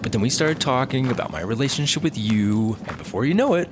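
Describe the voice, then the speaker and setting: A man's voice: medium pitch, animated, fast talker, noisy background, natural voice. A man playing a clueless, cheeky fellow in a comedy audio drama, with a glib, oblivious delivery. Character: clueless, cheeky man, flippant lovelorn rehab patient.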